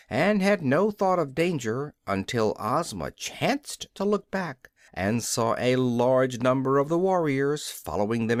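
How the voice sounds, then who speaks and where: A man reading a children's storybook aloud as scripted narration, in an expressive storytelling manner. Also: fairly high pitch, dry recording, studio quality. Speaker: audiobook reader.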